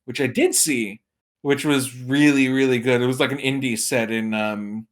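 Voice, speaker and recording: A man's voice: medium pitch, fairly even intonation, normal speed. A man chatting casually as a podcast guest and film expert, with a wry, tongue-in-cheek manner. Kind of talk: casual chat about movies.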